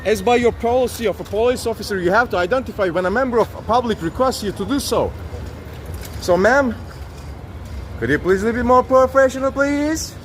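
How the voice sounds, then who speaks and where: A man's voice: very high voice, a little fast, slight background noise. A man who speaks English as a second language, filming police outdoors on a city street and persistently repeating polite but insistent demands. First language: not English. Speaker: citizen auditor filming police. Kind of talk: confrontational street recording.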